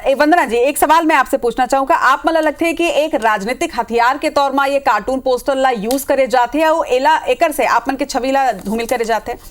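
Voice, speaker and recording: A woman's voice: sits high, fairly quick, few pauses. A woman with a Chhattisgarhi accent moderating a political TV debate as anchor, putting probing, insistent questions to the panel.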